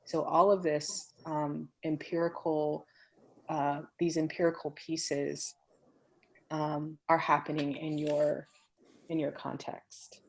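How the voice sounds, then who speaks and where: A woman answering questions in an online webinar, explaining at a measured pace with frequent ums. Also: deep voice, level tone, rough recording. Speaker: program faculty answering questions. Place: home office on a video call. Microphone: computer microphone.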